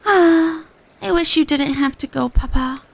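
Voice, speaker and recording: Woman voicing a high, worried little girl. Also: slow pace, gravelly, a little hiss or hum, heavily acted. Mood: sad. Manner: hesitant, simple, polite speech. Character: worried, polite little girl who misses her papa.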